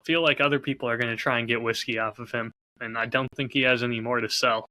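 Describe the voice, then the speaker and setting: Man, very bright crisp-edged tone, medium pitch, low-key, measured, casual podcast co-host chat. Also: fairly even intonation. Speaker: podcast co-host and audio drama creator.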